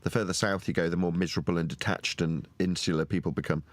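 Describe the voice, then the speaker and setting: Deep-voiced blunt Englishman on voice chat. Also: fairly even intonation, fast, no echo, clean studio sound. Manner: blunt, opinionated, laughs often. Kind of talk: casual group voice chat.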